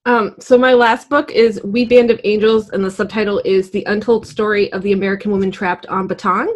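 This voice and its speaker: A woman's voice: mid-range voice, fairly even intonation, a little fast. A woman talking casually as a panelist in a book discussion. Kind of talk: casual chat.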